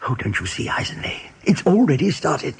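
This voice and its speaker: A man playing a brave, urgent rabbit captain in a 1980s radio play, intense and persuasive, with a husky, breathy voice. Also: a little high, sing-song, normal speed, full character voice. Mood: urgent, instructing. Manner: intense, persuasive, often hushed.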